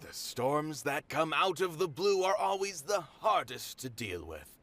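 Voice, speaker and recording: A man's voice: on the high side, big pitch swings, measured pace, a bit bright, heavily acted. A man playing an earnest, resigned theatre manager in a video game, formal and conscientious. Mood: resigned, weary.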